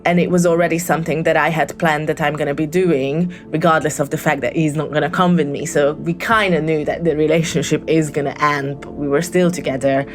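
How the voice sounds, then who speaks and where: Woman, lowish voice, halting delivery, lots of ums, casual voicemail story. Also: fairly even intonation, music in the background. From Hungary. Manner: hesitant, many ums and restarts. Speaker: caller leaving a voicemail. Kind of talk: casual spoken true story.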